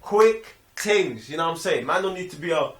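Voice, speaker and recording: A man's voice: a little high, animated, brisk, far from the mic. A man chatting casually about film, emphatic and slangy, acting out little quotes as he goes. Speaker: film review show co-host. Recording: echoey room, off the mic.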